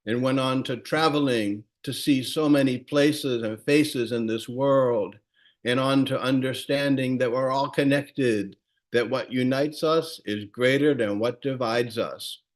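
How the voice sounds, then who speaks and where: Man, teacher and poet, video call, measured recitation, mid-pitched voice. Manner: measured, warm storytelling recitation. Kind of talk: reading his poem.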